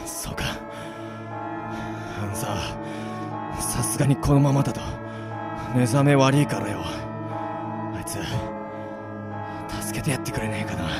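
A man playing a rough-spoken, soft-hearted death-game contestant in an amateur radio drama. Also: mid-range voice, lively intonation, unhurried, long pauses, loud music behind the voice. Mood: uneasy, subdued.

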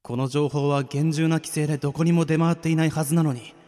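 A man's voice: fairly high pitch, fairly even intonation, fast, warm tone, lightly acted. A man acting a stubborn, secretive captive under interrogation in an amateur radio drama, with tense dramatic delivery. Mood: brooding, weary. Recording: long hall reverb, faint music.

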